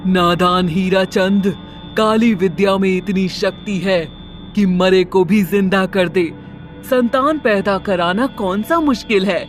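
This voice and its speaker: A woman's voice: medium pitch, slow, over music, natural voice. Woman acting a menacing, commanding witch. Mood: angry, boastful. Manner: commanding, ominous. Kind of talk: Hindi cartoon horror story acting.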